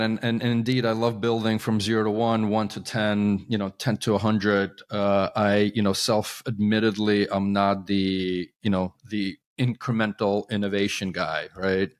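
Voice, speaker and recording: A middle-aged man from Ukraine who grew up around New York, speaking as a podcast guest. His voice sits a bit low, and he walks through his explanations in a relaxed, friendly way. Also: measured pace, hardly any pauses. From Ukraine, raised in New York and New Jersey. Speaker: guest, company co-founder. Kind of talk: conversational interview.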